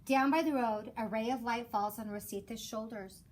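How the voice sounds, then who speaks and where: Female teacher, high-pitched, expressive children's read-aloud, measured delivery, clear background noise. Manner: measured storytelling with rhymed cadence. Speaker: elementary school teacher.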